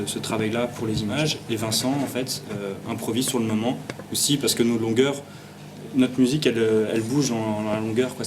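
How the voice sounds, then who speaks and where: A man talking casually in an interview as a musician, informal and a bit hesitant. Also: mid-range voice. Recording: clearly noisy ambient background.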